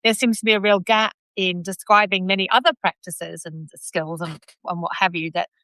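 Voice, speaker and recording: A woman host with a New Zealand accent and a bright, smooth, crisp voice. She speaks in a thoughtful, hedging way, often trailing off mid-phrase, recorded close and dry. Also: lowish voice, fairly even intonation, normal speed, dry recording, rough recording. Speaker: host of live video. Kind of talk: live online discussion.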